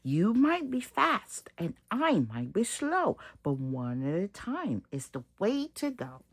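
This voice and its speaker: A woman voicing a small, kind blue truck who calmly asks others to take turns in a storybook. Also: mid-range voice, takes it slowly, husky, dry recording, exaggerated voice. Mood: earnest.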